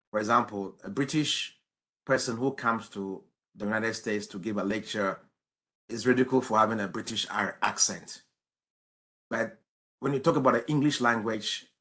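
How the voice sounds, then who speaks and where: An older man, a physician, speaking on a video-call panel from his office. He explains his points in a deliberate way. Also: medium pitch, fairly bright tone. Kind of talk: conference panel answer.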